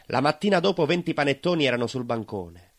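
An actor playing a bitter, vengeful baker in an intimate theatrical monologue, brooding and dramatic. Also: male voice, mid-range voice, animated, slight background noise, lightly acted. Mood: wry, bitter. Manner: brooding, dramatic pauses and repetitions. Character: bitter southern Italian baker confessing to vengeful murder.

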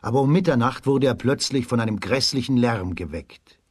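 A man narrating a children's radio play as a storyteller, in a measured storybook delivery. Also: mid-range voice, darkish tone, breathy edge, dry recording.

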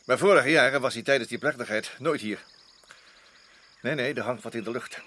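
A hard-nosed, worried garrison officer in a radio drama. He is a man with a voice of middling pitch. He speaks with urgency and emphasis, repeating words as he weighs things up. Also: a little fast, pushed and bright.

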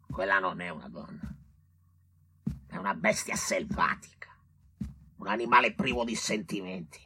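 An actor playing a vain, cowardly, drunken young doctor who grumbles and curses in an agitated radio drama. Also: male voice, fairly low pitch, full character voice. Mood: bitter, resentful. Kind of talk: theatrical radio drama monologue in Italian. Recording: loud background noise.

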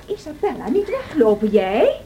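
A woman's voice: high-pitched, wildly swooping pitch, normal speed, dull audio. An actress playing a spirited, imperious young married woman in a radio drama, theatrical and haughty. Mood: gleeful, taunting. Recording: noisy old radio drama recording.

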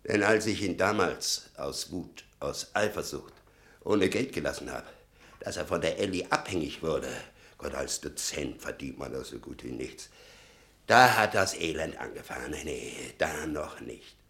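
An actor playing a bitter, doting old father in a 1960 German radio play, halting and brooding, muttering with sudden emphasis. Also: very deep voice, expressive, vocal fry, a bit bright, exaggerated voice. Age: older.

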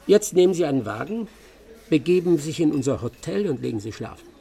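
An actor playing a self-assured, boastful English master detective in a German radio play, pompous, brisk and commanding. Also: male voice, a little high, very animated, creaky, warm tone. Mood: brisk, superior.

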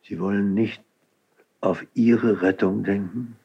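An actor playing a loyal, concerned count in a classical radio drama, husky, speaking in an earnest, measured, deferential way. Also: male voice, fairly low pitch, fairly even intonation, mellow, dull audio, heavily acted. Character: loyal, concerned count, cautiously warning his prince. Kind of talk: classical radio stage acting.

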